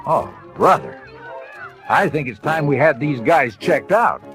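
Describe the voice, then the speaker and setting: Middle-aged storybook father: wry, mildly exasperated, slightly high voice, music underneath. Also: animated, a little slow. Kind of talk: storybook audio dramatization.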